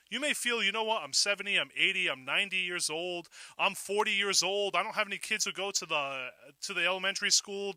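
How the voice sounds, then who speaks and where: Bright, forceful high-pitched American man hosting talk radio. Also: dry recording. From Fall River, Massachusetts, United States. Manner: emphatic, rhetorical questioning. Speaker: radio call-in talk show host. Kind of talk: opinionated talk radio monologue.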